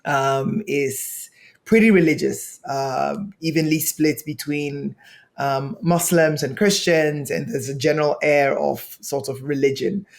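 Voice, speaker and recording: A young man from Ghana, a podcast guest, telling his life story in an earnest, measured way. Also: a little high, steady tone, creaky.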